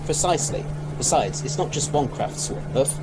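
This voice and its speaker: A man playing a brisk, urbane scientist adventurer in an audio drama, with a gentlemanly, authoritative manner. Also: a little high, lively intonation, lightly acted. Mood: calm, thoughtful. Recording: loud storm and engine noise.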